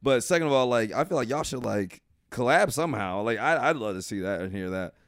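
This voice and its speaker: A man's voice: medium pitch, lively intonation, fairly quick, dry recording, clean studio sound. A man hosting a podcast in casual chat, friendly and jokey, sometimes groping for words. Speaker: podcast host.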